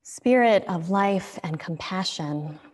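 A woman in her thirties, a minister, leading a measured, solemn spoken prayer. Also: fairly low pitch, lively intonation, darkish tone. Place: church sanctuary. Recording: long church reverb.

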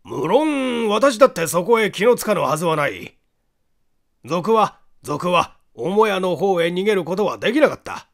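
A man puts on an insistent, practical police inspector for a mystery audiobook. The voice is raised high and swings in pitch, with emphatic delivery. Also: sing-song, moderate pace, vocal fry, heavily acted. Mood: defensive.

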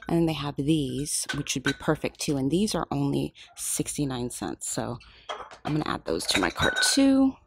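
A woman filming a shop-with-me vlog in a craft store aisle on a handheld phone, excited and gushing, often dropping to a whisper. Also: low-pitched, normal speed, noisy background. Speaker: shopping vlogger.

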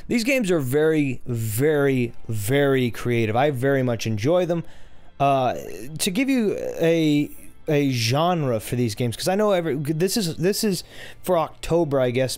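A man with a low voice hosting a casual game playthrough, chatty and rambling, correcting himself as he goes. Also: a little mellow, dry recording. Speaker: gaming channel host. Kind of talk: casual let's-play commentary.

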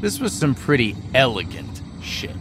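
Cynical con man, animated delivery. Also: medium pitch, moderate pace, a little hiss or hum. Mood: smug. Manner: dry, sardonic, profane. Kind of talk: video game dialogue acting.

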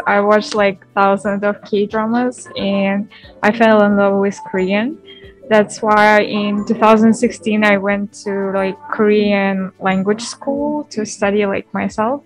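A woman's voice: medium pitch, steady tone, measured pace, soft background music, rough recording. Young woman, from kazakhstan, eager, lots of "like", video call. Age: young adult. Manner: eager, filled with "like". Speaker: interviewee, student.

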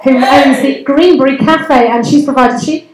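High, bubbly English woman MC on a handheld mic, echoey hall with noise behind. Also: normal speed. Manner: bubbly, chatty, teasing the crowd. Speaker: MC of a comedy night. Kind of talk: live emcee banter. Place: community centre hall, live audience.

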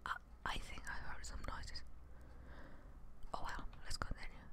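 A young woman whispering breathy, gentle ASMR commentary over gameplay, rambling a little and hesitating, close to the microphone. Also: measured pace. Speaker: gaming streamer. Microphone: close microphone with headset on.

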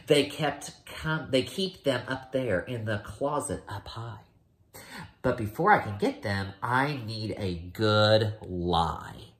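A man's voice: lowish voice, animated, slow pace, a bit bright, roomy sound, slight background noise. A man voicing a sneaky, greedy male pug dog from a storybook, scheming and boastful.

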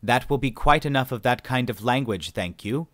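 A man reading an audiobook, voicing a calm, condescending male alien scientist with polite, mocking, unhurried speech. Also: medium pitch, dry recording, natural voice. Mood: smug, condescending.

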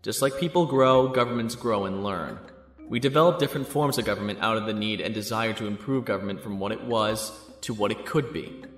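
A man's voice: lowish voice, a little fast, fairly bright tone, close to an everyday voice. An actor playing an earnest young male student who debates in an articulate, explanatory way in an audio drama. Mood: earnest, reasoned. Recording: echoey hall reverb with music.

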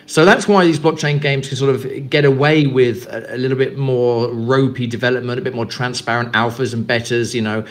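A man's voice: medium pitch, fairly even intonation, brisk, hardly any pauses. English man, company guest, loose rambling interview answers full of fillers. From England. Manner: rambling, many fillers and repeated words. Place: Greece.

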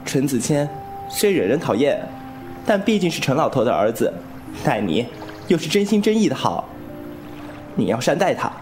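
A man's voice: medium pitch, very animated, normal speed, pauses often, a little mellow, faint music behind, close to an everyday voice. An actor playing a young swordsman in a wuxia audio drama, playful yet wounded and distrustful, frank about his feelings. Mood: earnest.

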